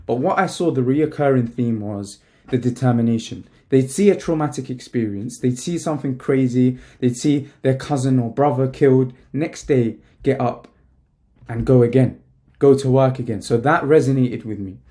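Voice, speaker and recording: A young man from Palestine talks straight to the camera, emphatic and full of slang. His voice is mellow and relaxed, sitting at an ordinary middle pitch. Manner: casual, emphatic, fast-talking with slang. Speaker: online business coach. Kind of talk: talking-head vlog to camera.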